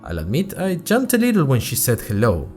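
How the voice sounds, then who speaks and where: A curious young student reporter voiced by a man recounts his adventures for an audio drama podcast. His delivery is expressive, with a lively rise and fall in pitch and a wry, conversational touch. Soft music sits behind his mid-pitched voice. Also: a little fast. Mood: chatty, curious. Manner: chatty, wry, expressive storytelling. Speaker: fictional podcast host and narrator. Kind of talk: scripted first-person audio drama narration in chatty podcast form.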